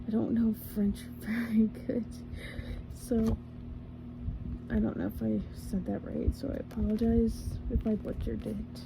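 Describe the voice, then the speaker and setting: A woman reading a storybook aloud in a soft ASMR style, slowly and carefully, sometimes slipping into a whisper and correcting small slips as she goes. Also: a little high, little pitch movement, vocal fry, background noise. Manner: drops to a whisper at times. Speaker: ASMR reader.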